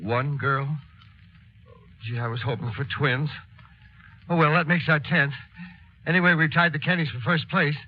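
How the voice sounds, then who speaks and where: Man acting humble worried father-to-be, fretful, earnest, raised pitch, 1930s radio drama, lo-fi. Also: normal speed, long pauses, natural voice. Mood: disappointed, hopeful. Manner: nervous, earnest, plaintive. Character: anxious, fretful humble husband awaiting his baby's birth. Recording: muffled 1930s radio recording.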